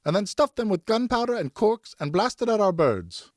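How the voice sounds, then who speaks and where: Man voicing a high-pitched, distraught French wife, scolding. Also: sing-song, moderate pace, a little mellow, no room sound, clean studio sound. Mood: furious, alarmed. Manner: indignant, pleading, emphatic. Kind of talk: audiobook character acting.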